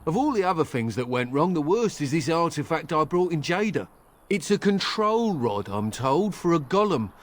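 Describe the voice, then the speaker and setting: A man's voice: on the high side, very animated, moderate pace. An actor playing a hapless, nervous travelling merchant in a fantasy game, rambling and questioning himself as he talks. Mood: coaxing, sheepish. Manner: flustered, rambling, self-questioning. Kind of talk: video game dialogue acting.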